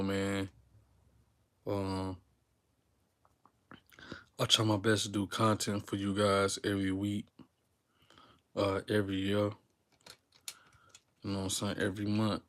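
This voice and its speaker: Young man, YouTuber, casual rambling delivery, flat and fairly low. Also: clear, resonant tone. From Texas. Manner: rambling, relaxed slang-filled talk with many fillers and restarts. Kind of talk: unscripted casual talk to viewers, live stream.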